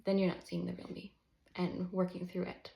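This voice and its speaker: A woman's voice: lowish voice, completely flat delivery, creaky, dull audio. Nervous woman in her forties, roomy echo. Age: early 40s. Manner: nervous, candid, self-conscious, halting. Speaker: counselor and children's book author. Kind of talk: unscripted personal vlog, reading own manuscript. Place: indoors at a desk, live unedited home recording. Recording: roomy echo of an untreated room.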